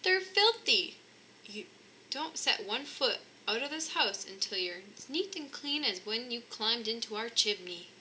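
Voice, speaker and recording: A woman's voice: a little high, lively intonation, a little slow, pushed and bright, slight background noise, poor audio. A woman voicing a fussy mother bear in a children's storybook, bossy and indignant.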